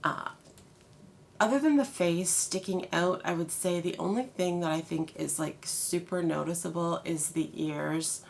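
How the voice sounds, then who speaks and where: A woman's voice: on the low side, unhurried, creaky, a little hiss or hum. A congested woman chatting casually through a product haul, with many fillers and restarts. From Canada. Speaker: haul video host.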